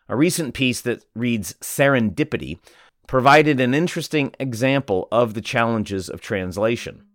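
A man reading a feature article aloud in a measured narration. His pitch moves a lot as he reads. The sound is dry and close, with no room around it. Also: lively intonation, no room sound. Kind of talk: scripted narration.

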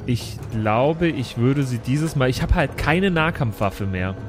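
A man's voice: mid-range voice, lively intonation, a little slow. A man chatting casually and improvising as a player in a tabletop role-playing game. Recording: faint music behind the voice. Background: music.

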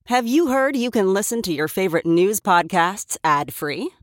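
A woman's voice: medium pitch, lively intonation, normal speed, no room sound, studio quality. A woman reading a scripted podcast advertisement. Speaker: advertisement announcer.

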